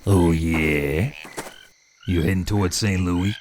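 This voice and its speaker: An actor playing an easygoing, helpful man in an audio drama, folksy and unhurried. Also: sits low, darkish tone, a little hiss or hum. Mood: friendly, advising. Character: easygoing, helpful man giving roadside directions at night.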